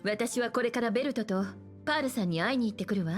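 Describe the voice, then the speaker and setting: An actress playing a composed, caring woman navigator in an anime-style game, guiding gently. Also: fairly high pitch, rapid-fire, soft background music, natural voice. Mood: gently encouraging. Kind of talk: Japanese anime game voice acting.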